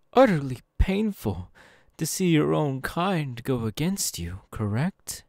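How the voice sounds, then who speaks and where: A suave male demon, speaks with slow, swooping intonation. He is genteel and smug, full of false sympathy and theatrical pauses. The recording is dry, in an ASMR audio roleplay style. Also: on the high side, a little mellow, no room sound, heavily acted. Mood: mock pity.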